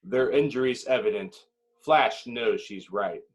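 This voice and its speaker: A man's voice: mid-range voice, unhurried. A man reading screenplay stage directions as the dramatic narrator of a table read.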